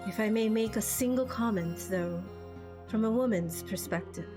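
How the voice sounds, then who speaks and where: A woman voicing a composed, blunt adult woman in a game, measured, formal and cutting. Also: fairly high pitch, natural voice. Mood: coolly scornful. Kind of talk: amateur game character voice acting. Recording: game music behind.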